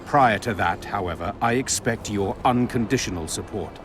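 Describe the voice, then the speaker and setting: Game casting: man playing commanding regent-soldier, lowish male voice, formal and forceful, noisy ambience behind. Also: normal speed, lightly acted. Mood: stern, threatening. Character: stern, commanding, honourable military regent of a kingdom. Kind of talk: video game voice acting.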